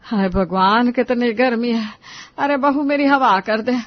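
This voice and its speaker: Lady doing a worn out cartoon mother in law, higher voice, whiny exclaiming, dry slightly dull audio. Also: steady tone, measured pace, no room sound, close to an everyday voice. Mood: weary, complaining. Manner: plaintive, exclamatory. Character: long-suffering, overworked middle-aged mother-in-law. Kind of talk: Hindi cartoon moral story acting.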